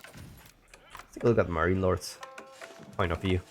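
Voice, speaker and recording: Low-voiced man casting a strategy game. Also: fairly even intonation, unhurried, faint music behind. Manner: rambling, chatty, frequent restarts and asides. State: a little sick, voice deeper than usual. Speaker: game caster. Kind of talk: casual play-by-play commentary.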